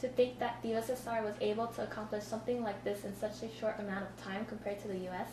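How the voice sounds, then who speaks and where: A woman's voice: mid-range voice, level tone, fast talker. A young woman reading a scripted amateur newscast as an anchor. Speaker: student news anchor. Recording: echoey room, distant mic, clear noise.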